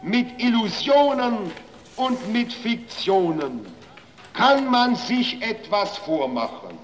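A man playing an earnest post-war parliamentarian, giving deliberate, declamatory political oratory. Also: high voice, big pitch swings, full character voice. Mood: grave, warning. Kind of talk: slow formal political oratory. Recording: muffled, long hall reverb.

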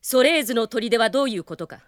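A woman's voice: on the high side, expressive, quick pace, dry recording, studio quality, lightly acted. Woman playing a proud, stern warrior princess. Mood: stern, indignant. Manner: stern, formal, commanding knightly speech. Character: proud, honorable young warrior princess commander. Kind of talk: radio drama acting.

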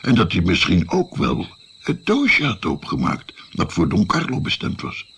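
Old grandpa telling his story, rambly, gravelly, really swoopy intonation, radio play. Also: low-pitched, wildly swooping pitch, fairly bright tone, full character voice. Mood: reminiscing. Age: older. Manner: rambling, halting, hems as he recalls.

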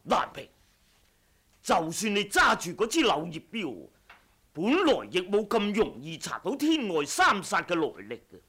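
Male actor as cold wuxia killer, radio drama, sardonic, rough husky voice, theatrical sweeping intonation. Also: sits high, wildly swooping pitch, measured pace, sharp tone, full character voice. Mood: probing. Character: ruthless male wuxia assassin, cold and haughty. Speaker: audiobook voice actor. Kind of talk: Cantonese martial-arts radio drama acting.

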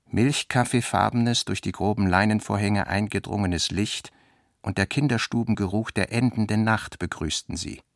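A man narrates literary prose for a radio play in a low voice with a gravelly edge. His reading is measured and carefully articulated, and it sounds close and dry. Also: fairly bright tone, no echo.

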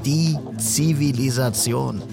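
Slow, gravelly western diarist. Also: male voice, mid-range voice, music in the background. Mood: weary, wistful. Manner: reflective, measured. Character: sober male western traveler recording his journal. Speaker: actor narrating in a radio play. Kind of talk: diary narration in clipped sentences.

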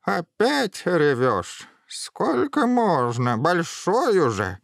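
Cranky eccentric old witch played by a man, high croaky voice, animated nagging, dry storybook recording. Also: drawn-out words, dry recording, heavily acted. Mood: exasperated, nagging. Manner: grumpy, scolding, blunt.

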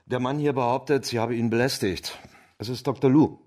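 A man in a radio drama playing a brisk, firm officer of the watch with a clipped official manner. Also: medium pitch, fairly even intonation, darkish tone, natural voice. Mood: reporting.

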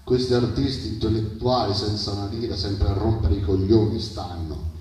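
A man's voice: fairly low pitch, steady tone, normal speed, dark tone. Echoey male poet reciting with insistence. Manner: declamatory, insistent list-like repetition of short phrases; satirical, mimicking common bigoted remarks. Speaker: poet performing at a public reading. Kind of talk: live spoken-word poetry recital. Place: live poetry reading at an outdoor festival. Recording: very echoey, picked up from far off.

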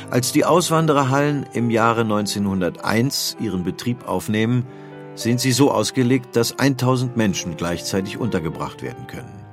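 A man reads scripted documentary narration as a narrator, in pronunciation. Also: fairly low pitch.

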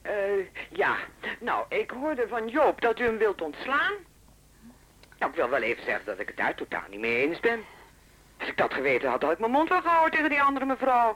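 A woman's voice: medium pitch, very animated, fairly quick, a bit bright, poor audio. An actress in a Dutch radio drama playing a busy, protective housewife and mother, blunt and indignant on the phone. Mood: hesitant, put out. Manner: blunt, indignant, plain-spoken.

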